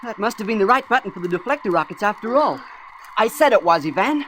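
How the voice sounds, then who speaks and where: Vintage radio serial, slight noise, woman acting practical boy adventurer, colloquial, matter-of-fact, medium pitch. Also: animated, fast, a bit bright, close to an everyday voice. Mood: relieved, nagging. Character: practical, plain-spoken boy space adventurer. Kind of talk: old-time radio drama acting.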